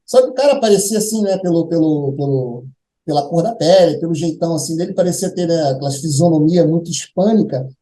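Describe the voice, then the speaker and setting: Brazilian male guest, high soft-toned voice, tentative and casual, echoey space. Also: expressive, a little fast. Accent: Brazilian Portuguese. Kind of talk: casual conversation.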